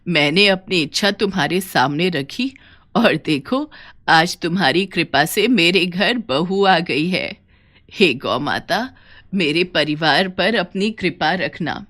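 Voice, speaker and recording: A woman voicing a gentle, devout elderly village mother in a cartoon story. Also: fairly low pitch, lively intonation, slow, heavily acted. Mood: grateful, reverent.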